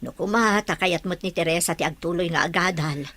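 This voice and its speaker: A woman's voice: lowish voice, a little fast, fairly bright tone, no room sound. A woman playing a concerned middle-aged mother in an Ilocano radio drama, earnest and coaxing. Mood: hopeful. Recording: music bed under the dialogue.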